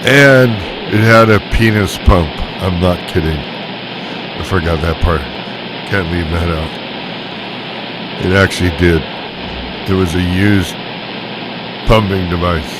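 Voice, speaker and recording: Man, low-pitched, wry casual storyteller on CB radio, music clearly audible behind him. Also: takes it slowly, pauses often, poor audio. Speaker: CB radio operator. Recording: CB radio audio with background sound.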